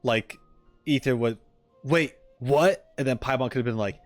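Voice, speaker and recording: A man reacting casually on a livestream, wry and halting, often repeating his phrases. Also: mid-range voice, steady tone, faint music behind. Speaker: streamer reacting to game audio.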